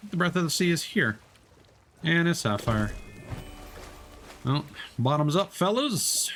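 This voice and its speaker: A man's voice: fairly high pitch, big pitch swings, measured pace, fairly bright tone, a little hiss or hum. A man doing casual live gameplay commentary, chatty and thinking aloud. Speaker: game streamer.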